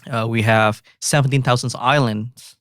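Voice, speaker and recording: A man's voice: mid-range voice, steady tone, fairly bright tone, clean tone, no echo. Indonesian guy with an accent, chatting on a podcast, lots of uhs and restarts, dry close mic. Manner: halting, many fillers and restarts. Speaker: guest composer. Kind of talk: casual interview chat.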